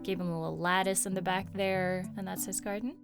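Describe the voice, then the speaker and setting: A woman's voice: mid-range voice, steady tone, fairly quick, over music. A woman giving a casual, chatty narrated tour of her video game island, with playful jokes and asides. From Swedish heritage.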